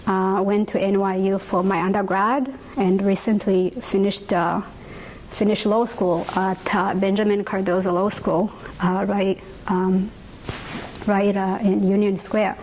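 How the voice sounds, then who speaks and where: A young woman from Rwanda, a native Kinyarwanda speaker, gives a measured, earnest testimony in English and pauses often with "uh" and "um.". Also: mid-range voice, flat delivery, a little mellow, a little hiss or hum, poor audio. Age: young adult. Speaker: genocide survivor speaker. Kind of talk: personal testimony talk.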